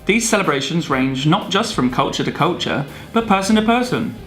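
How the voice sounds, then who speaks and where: Jokey male presenter with lively delivery. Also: fairly high pitch, normal speed, soft background music. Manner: jokey, theatrical. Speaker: video co-presenter. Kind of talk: scripted explainer narration with comic banter.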